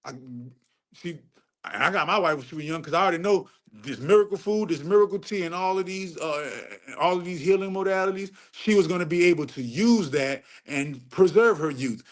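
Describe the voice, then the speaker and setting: A middle-aged man on a casual livestream rant, preachy and profane, repeating phrases for emphasis and climbing sharply in pitch when he gets heated. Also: a little fast. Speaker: livestream host answering chat.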